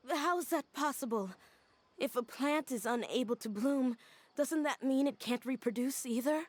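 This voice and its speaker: A woman's voice: sits high, dry recording. An actress playing an earnest, hesitant young woman grieving a teacher, in a video game. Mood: shocked, hurt. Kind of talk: English video game voice acting. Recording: music behind the voice. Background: background music.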